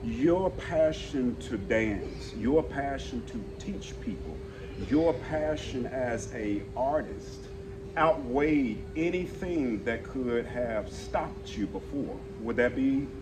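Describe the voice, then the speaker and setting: A man's voice: medium pitch, lively intonation, slow pace, background noise. A man working as a communication coach, a guest in an interview who speaks emphatically and gives frequent affirmations.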